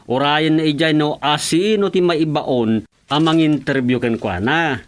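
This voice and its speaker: An actor playing a dutiful village official in a radio comedy drama, explaining deferentially with an Ilocano accent. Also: male voice, a little high, unhurried, close to an everyday voice. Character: village official dutifully reporting to his chairman.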